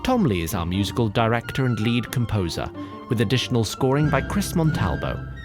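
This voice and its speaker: A narrator of an audio drama, reading scripted narration and credits in a measured way. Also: medium pitch, sing-song. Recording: loud music score beneath.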